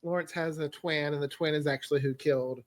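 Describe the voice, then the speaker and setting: A man's voice: a little high, steady tone, fast, no room sound. A man chatting casually as a show creator on a panel, often breaking off and correcting himself. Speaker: audio drama creator, panelist. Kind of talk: casual chat.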